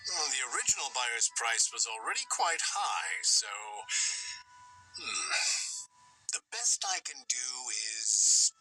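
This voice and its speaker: An actor voicing a scheming male merchant in a game, smooth and wheedling. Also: medium pitch, sing-song, takes it slowly, pushed and bright, slight background noise, heavily acted, rough recording. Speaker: game character. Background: game music.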